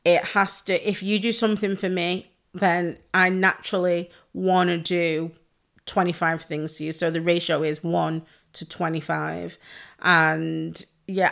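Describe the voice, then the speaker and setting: Solo podcast host, woman, hoarse, slightly low voice, sweary and chatty. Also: a bit bright. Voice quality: chatty, sweary, laughs often. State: hoarse, losing her voice. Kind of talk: casual solo podcast chat.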